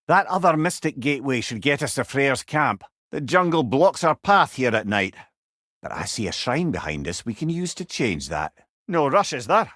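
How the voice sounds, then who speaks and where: A man's voice: mid-range voice, fairly bright tone, dry recording, studio quality. A man playing a witty, avuncular old Scottish counsellor in a video game, a severed head who talks in a wry, courtly, chatty way with salty turns of phrase. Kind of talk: video game character dialogue.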